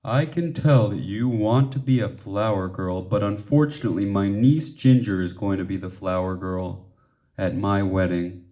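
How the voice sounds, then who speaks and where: A man reading the part of a kindly, tactful schoolteacher in a storybook, gently explaining. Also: lowish voice, moderate pace, lightly acted. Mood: gently regretful. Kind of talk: storybook character dialogue.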